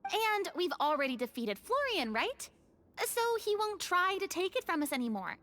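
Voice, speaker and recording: A woman's voice: very high voice, very animated, normal speed, sharp tone, slight background noise, exaggerated voice. A woman voicing an earnest, curious young woman in a video game, who sometimes mutters her thoughts aloud. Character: earnest young woman playing along in a role-play.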